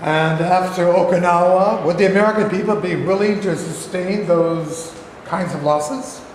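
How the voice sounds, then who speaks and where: A man's voice: sits high, moderate pace, natural voice. A man acting a formal, careful senior adviser to a president in a staged play reading. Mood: careful, reasoning. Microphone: camera microphone. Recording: echoey hall, faint noise.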